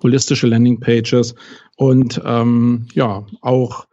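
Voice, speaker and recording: A man in his late forties with a gravelly Berlin accent talks through his career in a relaxed podcast chat. He tells it with a dry humor. The recording sounds a little dull and lo-fi. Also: medium pitch, takes it slowly. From West Berlin, Germany. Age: 40s. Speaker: podcast guest, SEO agency owner. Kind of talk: casual podcast conversation.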